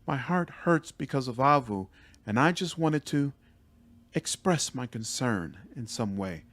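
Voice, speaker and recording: A man voicing a gentle, shy young woman in a fantasy game, polite and hesitant. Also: mid-range voice, lively intonation, unhurried, dry recording, voice pushed up. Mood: timid concern.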